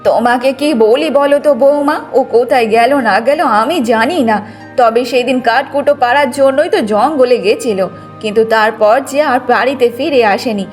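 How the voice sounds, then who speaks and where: Woman voicing a nagging, high-voiced old mother-in-law. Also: normal speed, soft background music, lightly acted. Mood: spiteful, gloating. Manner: wheedling, nagging. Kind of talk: cartoon moral tale acting.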